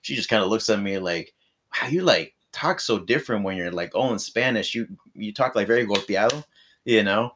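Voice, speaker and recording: A man from northern Mexico, appearing as a guest in a casual podcast conversation. His voice sits fairly low, and he talks loosely with many filler words and easy chuckles. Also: a little fast. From Rosarito, northern Mexico. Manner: relaxed, lots of fillers, chuckles. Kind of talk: casual chat.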